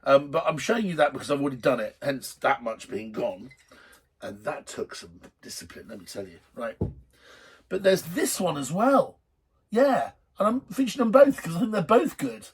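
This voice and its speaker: A middle-aged man with a slightly husky voice hosting a drinks show in a rambling, jokey, blunt and sweary unscripted chat to camera. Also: a little high, very animated, moderate pace. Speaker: host of a drinks video show.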